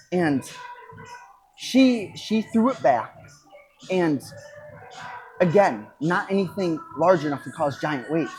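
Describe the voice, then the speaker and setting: A podcast host and coach giving a casual motivational talk, speaking reflectively and emphatically, with pauses and repeated phrases. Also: mid-range voice, darkish tone, poor audio.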